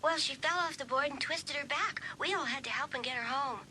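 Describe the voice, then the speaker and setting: An actress playing a chatty, gossipy teenage friend on the phone in a dramatised audiobook, a little hesitant. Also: female voice, sits high, a little fast, close to an everyday voice. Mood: chatty, apologetic. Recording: noisy phone-call scene.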